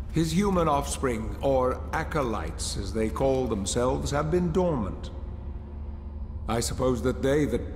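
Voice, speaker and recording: An actor playing an ancient, scheming lord in a game cutscene, speaking formally and deliberately with courteous, commanding menace. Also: male voice, mid-range voice, close to an everyday voice. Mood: grave, persuasive. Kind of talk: dramatic video game cutscene acting. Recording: echoey long reverb, music behind.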